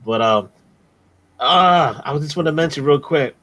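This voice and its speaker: A man's voice: fairly high pitch, animated, moderate pace, bright, forceful tone. A man hosting a casual online show, talking in a laid-back slangy way with many fillers. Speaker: host. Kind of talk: casual chat, channel shout-out.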